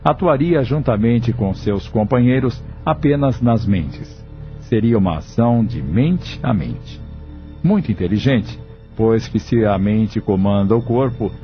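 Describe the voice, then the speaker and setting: Low-voiced solemn male narrator. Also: animated, muffled recording, soft background music. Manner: measured, solemn storytelling. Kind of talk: scripted audiobook narration.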